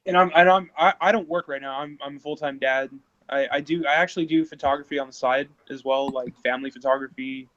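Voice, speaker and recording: A man in his thirties chatting casually, often stammering and restarting, growing animated when excited. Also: medium pitch, fast, hardly any pauses, slight background noise. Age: 30s. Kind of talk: casual chat. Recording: dull lo-fi sound.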